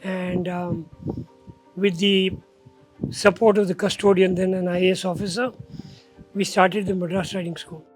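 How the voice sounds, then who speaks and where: A man's voice: sits high, little pitch movement, measured pace, long pauses, faint music behind, rough recording. An older man, a riding school founder recalling his past steadily in a documentary interview.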